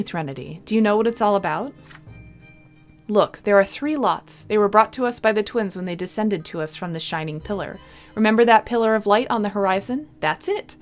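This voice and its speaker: A woman's voice: medium pitch, lightly acted. A woman voicing an earnest young big sister in a storybook game, explaining and reciting in a simple, singsong way. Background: game music.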